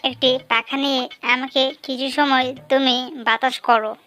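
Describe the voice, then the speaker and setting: A woman's voice: high voice, level tone, moderate pace, pushed and bright, smooth, no room sound. A woman voicing a hot, broke, grumbling husband fox in a cartoon. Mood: whining, exasperated. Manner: whining, grumbling. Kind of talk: cartoon character dialogue.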